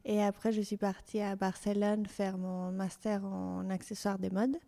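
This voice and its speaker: A woman's voice: mid-range voice, little pitch movement, measured pace, vocal fry, warm tone. A young woman from Mexico with a Mexican Spanish accent, a fashion designer speaking as an interview guest in French, not her first language, hesitant with many fillers and restarts. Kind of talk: interview conversation.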